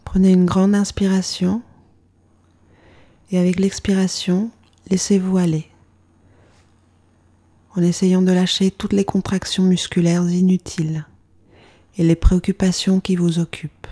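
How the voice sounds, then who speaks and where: A woman guides a relaxation practice as a yoga instructor. Her voice sits a little low, with a dark, relaxed tone. She speaks very slowly and calmly, letting phrases fall away softly. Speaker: yoga nidra meditation instructor. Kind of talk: guided meditation narration.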